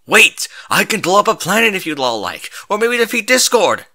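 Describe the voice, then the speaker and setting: A man voicing a grandiose heroic pony savior in a comedic story, with booming, declamatory delivery. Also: very animated, moderate pace, sharp tone, exaggerated voice. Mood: desperate. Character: grandiose heroic male pony savior, gallant yet desperate. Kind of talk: comedic fan-fiction story reading.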